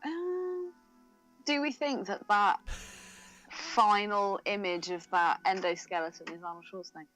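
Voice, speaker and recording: A woman's voice: medium pitch, a little slow, a bit bright, faint music behind, rough recording. A woman co-hosting a podcast in a playful, teasing, casual way. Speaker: podcast co-host. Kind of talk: casual chat.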